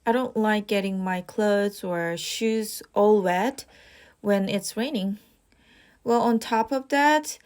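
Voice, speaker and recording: A young woman speaking English, reading out speaking-test questions and answering each one. She talks slowly and evenly, at a middling pitch, with rehearsed fillers like "well" and "you know.". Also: a little mellow. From South Korea. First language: Korean. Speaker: IELTS practice test speaker. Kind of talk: model answers for a speaking exam.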